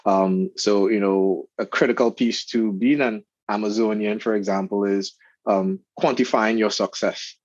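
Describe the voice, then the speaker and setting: A man with a Trinidadian accent, a guest in an interview, speaking in an earnest, measured way with fillers. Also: on the low side, steady tone, no echo, highs cut off. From Trinidad and Tobago. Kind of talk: casual interview chat.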